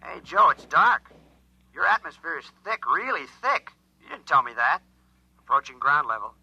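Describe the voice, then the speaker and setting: A man's voice: on the high side, very animated, moderate pace, creaky, pushed and bright, a little hiss or hum, heavily acted, poor audio. A man acting an alarmed alien spaceship pilot in a 1950s science fiction radio drama, speaking urgently through a radio transmission. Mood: uneasy. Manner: urgent, insistent, short clipped reports. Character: alarmed alien spaceship pilot, joking turning desperate, pleading.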